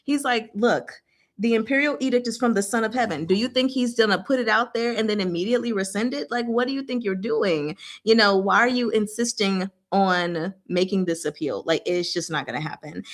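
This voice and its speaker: A woman with a mid-pitched voice hosts a casual book discussion. She is chatty and playful, with a giggly streak. She retells scenes loosely in slang, slipping into the dialogue as she goes. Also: fairly quick.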